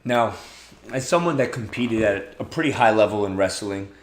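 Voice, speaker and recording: A man's voice: fairly low pitch, lively intonation, moderate pace, roomy sound, rough recording. A man hosting a podcast, asking questions casually and encouraging the guest with frequent backchannels. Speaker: podcast host. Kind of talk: interviewing, casual.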